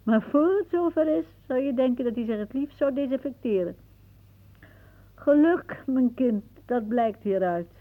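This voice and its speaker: Radio drama, thin boxed-in audio: an aphorising older lady, dry-witted and quick to irritation. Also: high voice, animated. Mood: philosophical, dry. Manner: musing, dryly aphoristic. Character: wry, philosophising older woman, easily irritated. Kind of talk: radio play acting. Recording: muffled, phone-like old radio recording.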